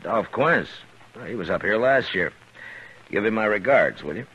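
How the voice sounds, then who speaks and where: An actor playing a calm, wry American frontier marshal, unhurried and authoritative, in a 1950s radio western. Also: male voice, on the low side, slightly rough. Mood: dry, watchful. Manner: unhurried, dry, easygoing. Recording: dull, noisy old radio recording.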